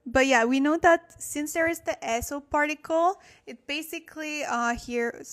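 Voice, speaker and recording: A woman's voice: sits high, normal speed. A woman whose first language is French, speaking English while hosting a casual livestream Korean lesson, thinking aloud with fillers and self-corrections. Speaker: livestream host teaching Korean.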